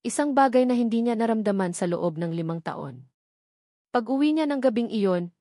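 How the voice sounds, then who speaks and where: A woman's voice: medium pitch, a little mellow, no echo, studio quality. A woman with a Filipino accent reading a scripted dramatic story as its narrator.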